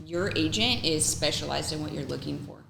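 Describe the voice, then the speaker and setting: A woman with a Texan accent, a real estate agent talking quickly and animatedly as a podcast guest. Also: low voice, creaky, a crowd in the background. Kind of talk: casual chat. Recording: echoey room.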